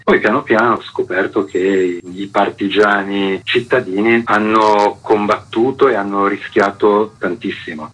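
Deep husky older man on the radio. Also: fairly bright tone. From Genoa, Italy. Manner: earnest, measured and passionate. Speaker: theatre author and actor, guest. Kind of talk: radio interview, reflective storytelling. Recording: dull lo-fi radio broadcast.

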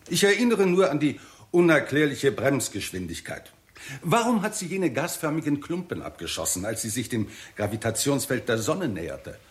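An actor playing a persuasive, methodical scientist in a 1960s German radio play, speaking deliberately and precisely. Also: male voice, mid-range voice, natural voice. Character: persuasive, methodical male scientist defending an absurd hypothesis.

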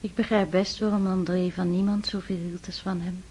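An actress as a calm, reassuring woman. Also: on the low side, moderate pace, a little hiss or hum, natural voice. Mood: gentle. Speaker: Maria, a woman. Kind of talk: radio drama acting.

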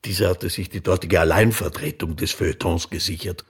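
A man with a very husky voice giving scripted biographical narration as a documentary narrator. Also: low-pitched, steady tone, a little fast.

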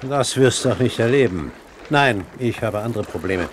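A man's voice: lowish voice, expressive, natural voice. Suave pirate captain with swooping intonation, played by a man. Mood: musing. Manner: courtly, measured, sardonic. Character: suave, commanding pirate captain and great-uncle. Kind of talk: German radio play acting. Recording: faint background noise.

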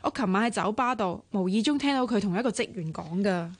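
A woman's voice: mid-range voice, animated, brisk, husky, no echo. An actress with a Hong Kong accent playing an earnest, worried young woman in a radio drama, with a pleading way of talking. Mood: worried, insistent. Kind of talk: Cantonese radio drama acting.

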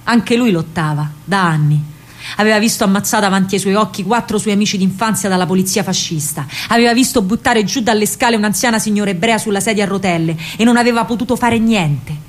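An actress playing a spirited young partisan woman with a Roman accent, telling her story in an expressive, colloquial radio monologue. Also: medium pitch, fast talker, close to an everyday voice. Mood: grave, impassioned. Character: young female partisan courier, lovestruck and spirited. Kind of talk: theatrical radio monologue, dramatic first-person storytelling.

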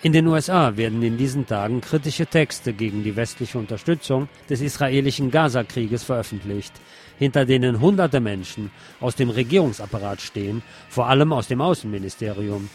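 A man's voice: medium pitch, a little fast, a little mellow, soft background music. A man hosting a radio show, reading a news commentary aloud. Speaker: radio host.

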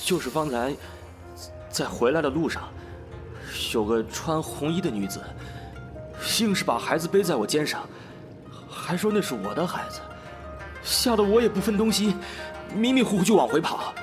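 Casting: male actor for haunted, faint-hearted official husband, slightly high register, halting period speech, music underneath. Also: very animated. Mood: shaken. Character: haunted, timid official husband in ancient China. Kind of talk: period audio drama acting.

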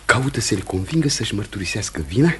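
An actor playing an anxious, obsequious Puritan pastor in a radio theatre drama, hesitant and ingratiating. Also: male voice, lowish voice, animated, a little hiss or hum, natural voice. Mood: ingratiating, polite.

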